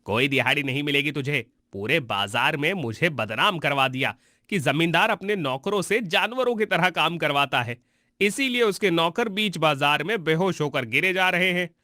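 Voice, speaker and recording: A man's voice: a little high, animated, normal speed, sharp tone, no room sound, studio quality. A man voicing a cruel, hot-tempered landlord who scolds his servant in a harsh, domineering way in a cartoon story. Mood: angry, scolding. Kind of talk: Hindi cartoon story voice acting.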